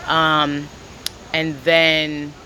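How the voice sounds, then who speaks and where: A woman's voice: sits low, very slow, slight background noise. A woman from Jamaica, a singer, chatting casually in an interview with lots of "like" and "you know.". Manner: relaxed, rambling, with many "like" and "you know" fillers. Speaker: singer and guitarist guest.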